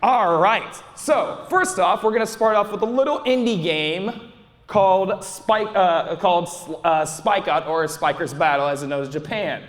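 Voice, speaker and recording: A man's voice: high-pitched, measured pace, fairly bright tone, a little hiss or hum. A young man giving a casual, rambling live panel talk as a YouTuber at a fan convention, full of restarts and fillers, recorded from the audience. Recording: audience recording with long hall reverb.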